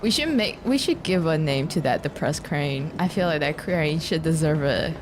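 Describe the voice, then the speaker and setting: A woman livestreaming a game, playfully reacting and reading dialogue aloud in a giggly, excitable way. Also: low-pitched, normal speed, noisy background. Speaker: streamer. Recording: game music behind the voice. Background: game music.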